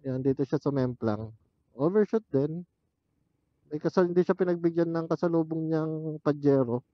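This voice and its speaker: A man's voice: a little high, moderate pace, no echo. A man chatting casually and thinking aloud as a motorcycle vlogger while he rides, speaking into a helmet intercom headset. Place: outdoors, riding a motorcycle on mountain roads. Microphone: helmet intercom headset used as external mic. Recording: muffled helmet intercom microphone.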